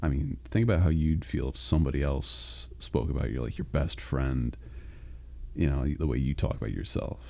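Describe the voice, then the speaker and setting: A man voicing a caring male sleep paralysis demon, speaking softly, with halting reassurance, in a comforting roleplay monologue. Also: exaggerated voice. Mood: soothing. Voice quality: deep gravelly growl. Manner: soft, reassuring, halting and unhurried.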